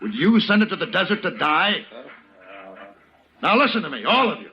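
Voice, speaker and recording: An actor playing a tough, principled Western hero in 1950s radio drama style, firm and deliberate, commanding and protective. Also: male voice, sits high, very animated. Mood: defiant. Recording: muffled, noisy old radio recording.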